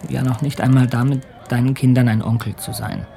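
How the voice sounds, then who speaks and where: An actor playing a determined, adventurous English gentleman captain in a radio drama, articulate and formal. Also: mid-range voice, flat delivery, a little fast, creaky, slight background noise, close to an everyday voice. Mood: earnest, hopeful. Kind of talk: radio drama acting and letter narration.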